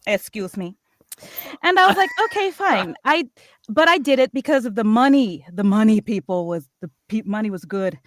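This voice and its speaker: A middle-aged American woman with a fairly high voice, recorded very dry. She tells personal stories casually and playfully, breaking into her own sentences as she goes. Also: animated, normal speed, no room sound. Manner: chatty, playful, self-interrupting. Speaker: voice actor on a cast panel.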